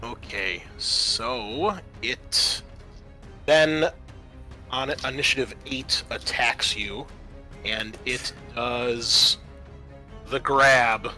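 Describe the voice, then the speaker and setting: A man's voice: mid-range voice, extremely slow, pauses often, pushed and bright, soft background music. A man narrating a tabletop horror roleplaying game as game master, casual and prone to swearing.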